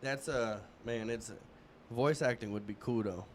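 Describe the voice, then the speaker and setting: A man in his thirties hosting a podcast, chatting in a relaxed, rambling way in a casual interview. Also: mid-range voice, measured pace, a little hiss or hum. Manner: relaxed, rambling, many restarts and fillers. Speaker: podcast host.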